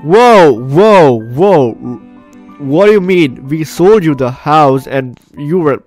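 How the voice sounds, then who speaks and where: A man hosting a gameplay video, reading game text aloud and breaking in with expressive reactions. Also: fairly high pitch, slow pace, faint music behind. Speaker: gaming video host.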